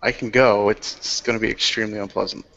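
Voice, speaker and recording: A man's voice: on the low side, steady tone, quick pace, a bit bright, lo-fi sound. A man playing a reluctant, grumbling changeling in a tabletop game, weary and sardonic. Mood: weary, grudging. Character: grumbling, reluctant male changeling who loathes sterile places.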